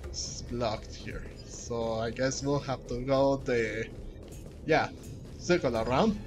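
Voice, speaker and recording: A man giving casual, rambling let's-play commentary as a game streamer, speaking haltingly. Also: medium pitch. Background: game music.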